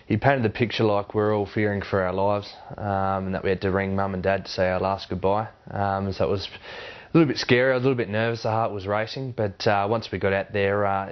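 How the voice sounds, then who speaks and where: Low, even-voiced young Australian man. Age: 20s. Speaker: Australian rules footballer. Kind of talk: casual interview to camera. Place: warm indoor lounge with a fireplace. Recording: slightly dull sound.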